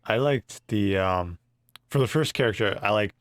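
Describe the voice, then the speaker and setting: A man's voice: fairly low pitch, moderate pace, no room sound, studio quality. A man co-hosting a podcast, chatting casually about video games. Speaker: podcast co-host.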